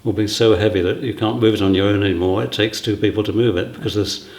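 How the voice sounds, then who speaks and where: A man's voice: on the low side, fairly even intonation, fast talker, a little mellow. A man explaining things casually in an interview, recorded in an echoey room. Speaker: theatre member being interviewed.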